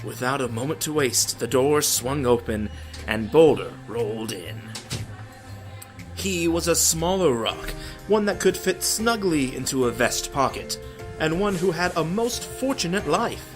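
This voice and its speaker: A man's voice: on the high side, expressive, a little slow, soft background music. A man giving a dramatic, comedic story reading in a theatrical, sardonic manner with exasperated asides. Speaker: narrator of a story.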